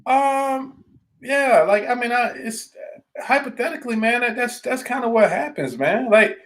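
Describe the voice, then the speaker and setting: A man's voice: extremely high pitch, measured pace, fairly bright tone. A man co-hosting a casual podcast, talking in a blunt, opinionated way with informal, slangy phrasing. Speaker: podcast co-host. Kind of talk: casual conversation.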